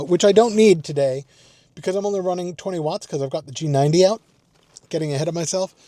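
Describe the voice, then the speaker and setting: A man with a slightly higher-pitched voice and a relaxed, mellow tone talks off the cuff to his vlog. He rambles, thinks aloud and pokes fun at himself. The sound is very dry, recorded inside a parked car. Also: no room sound. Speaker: amateur radio vlogger. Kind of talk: casual unscripted vlog talk.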